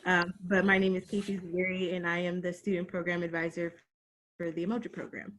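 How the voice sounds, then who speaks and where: A woman student program advisor introducing herself casually and quietly on a video call. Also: lowish voice, flat delivery, a little fast.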